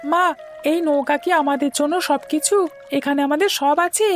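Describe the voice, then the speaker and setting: A woman's voice: high voice, normal speed, natural voice. A woman voicing a small, innocent child in a cartoon story, with a plaintive, questioning way of talking. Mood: innocent, curious. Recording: music behind the voice.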